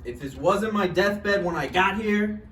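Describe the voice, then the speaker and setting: Guy doing a wry ancient dying man in a stage play, hesitant, swingy pitch, echoey room. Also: expressive, unhurried, fairly bright tone. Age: older. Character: Roger, wry dying man aged a hundred and three. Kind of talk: live play table read. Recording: echoey room, distant mic, faint noise.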